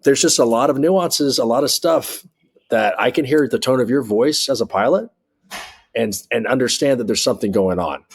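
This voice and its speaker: American guy in an interview, air traffic controller type, blunt and emphatic, normal mid pitch. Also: normal speed, slightly rough. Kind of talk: candid conversational interview.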